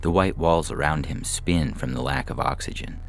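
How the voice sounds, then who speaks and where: A man's voice: a bass voice, normal speed, gravelly. A man reading a scripted short story as a narrator, with music behind him. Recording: music bed under the reading.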